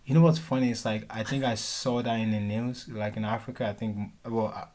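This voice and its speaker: A man hosts a casual podcast conversation in a lowish voice. He talks in a relaxed, rambling way, full of "like" and "you know", and laughs easily with his guest. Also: fairly quick. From Nigeria. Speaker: podcast host.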